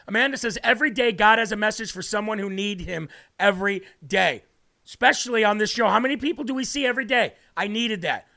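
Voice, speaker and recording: A man with a raspy voice hosting a faith livestream, preaching and reading viewer comments aloud, often repeating phrases for emphasis. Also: sits high, fast, bright, forceful tone. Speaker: host of a faith livestream show.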